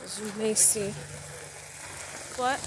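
A woman, narrating a casual handheld vlog outdoors in the desert, offhand, cursing now and then. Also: mid-range voice. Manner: slow, offhand, cursing and laughing. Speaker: vlogger exploring. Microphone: phone camera held by the speaker. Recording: outdoor phone audio with clear wind noise.

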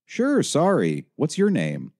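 A man's voice: on the high side, wildly swooping pitch, takes it slowly, vocal fry, a little mellow, pitched above the performer's own voice, full character voice. Man playing David, melodramatic helpline counsellor. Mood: apologetic, chatty. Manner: melodramatic, overly conversational. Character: David, conversational male crisis-line counsellor.

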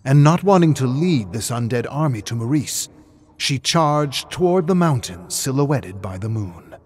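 A man's voice: mid-range voice, animated, unhurried, a little mellow, slightly rough. A man reading a scripted story aloud as a narrator, with theatrical, suspenseful delivery. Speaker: narrator reading game lore.

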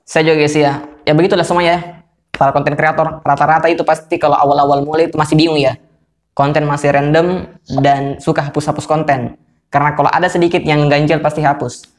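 A young man hosts a casual podcast call from a small room. His voice sits a little high and is slightly hoarse, and he talks in a relaxed, chatty vlogger way. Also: fast talker. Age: young adult. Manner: laid-back, chatty. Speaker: YouTuber hosting a podcast call. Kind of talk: casual vlog-style phone interview.

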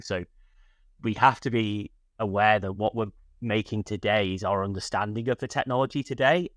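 Low-voiced man explaining in an interview. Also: a bit bright, no room sound. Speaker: podcast guest, tech company product leader. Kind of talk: conversational interview answers. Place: small furnished room at home. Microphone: video call, wearing headphones.

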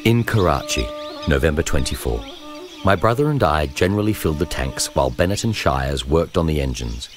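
A man reads a historical journal as scripted narration, in a measured, formal storytelling manner. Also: very deep voice, animated, music in the background. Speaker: narrator reading a memoir.

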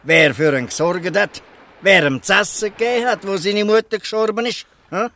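A man playing a shrewd, gruff old fisherman in a Swiss German dialect radio play, questioning people with pointed irony. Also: high-pitched, normal speed, sharp tone. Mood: reproachful, pointed. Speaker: actor in an audio drama.

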